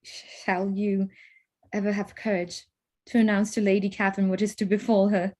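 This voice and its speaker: A woman playing a witty, spirited young gentlewoman in a staged reading of a Regency romance, arch and composed. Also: medium pitch, flat delivery, moderate pace, natural voice. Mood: teasing. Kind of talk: staged reading of a period drama.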